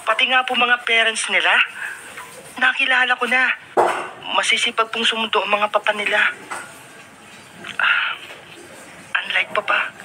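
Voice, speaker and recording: High-voiced respectful Filipino son. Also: male voice, flat delivery, measured pace, long pauses, background noise. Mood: wistful. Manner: respectful, earnest. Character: dutiful son, eager, respectful, wistful about absent father. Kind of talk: Filipino radio drama acting.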